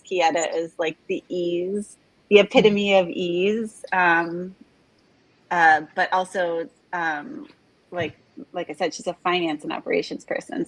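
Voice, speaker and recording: A woman hosting a live online interview in a chatty way, full of "like" and "um", her voice a little raspy from talking all morning. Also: on the low side, dry recording, rough recording. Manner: chatty, filler-heavy, says "like" often. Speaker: host of live interview series. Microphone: video call.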